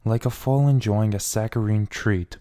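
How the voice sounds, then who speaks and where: A man's voice: lowish voice, moderate pace, warm tone. A man giving a brooding, theatrical first-person reading of a fan fiction story. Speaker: amateur fan fiction reader.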